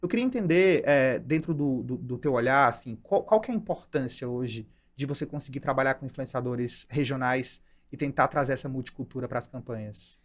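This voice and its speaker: A man's voice: mid-range voice, fairly quick, hardly any pauses. A man from Ceará with a Northeastern Brazilian accent, hosting an interview in a casual, chatty way and often adding "né?". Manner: chatty, thinks aloud with restarts and "né?" tags. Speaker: podcast host.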